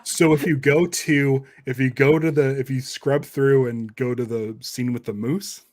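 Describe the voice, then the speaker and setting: A man's voice: mid-range voice, steady tone, measured pace, a little mellow, no room sound. A man co-hosting a podcast, chatting casually and telling anecdotes with enthusiasm. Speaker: podcast co-host.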